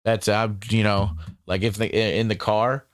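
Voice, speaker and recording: A man's voice: lowish voice, flat delivery, normal speed, smooth, no echo, studio quality. A man hosting a casual interview, rambling and swearing freely, with lots of fillers. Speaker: interviewer and channel host.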